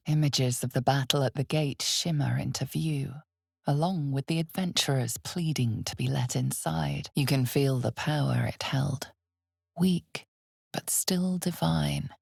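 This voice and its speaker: Ominous, gravelly, low-voiced fantasy game narrator. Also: female voice, no echo, studio quality, full character voice. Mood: hushed, intense. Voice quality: husky, gravelly, breathy. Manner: measured, deliberate, hushed theatrical delivery. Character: ominous, knowing female narrator of dark fantasy. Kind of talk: dramatic scripted second-person fantasy game narration.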